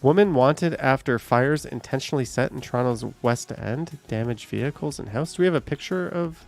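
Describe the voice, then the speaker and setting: Male stream host, mid-pitched with a gravelly edge, loose off-the-cuff commentary. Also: a little fast, soft background music. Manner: chatty, thinks aloud, swears casually. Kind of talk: casual live stream commentary.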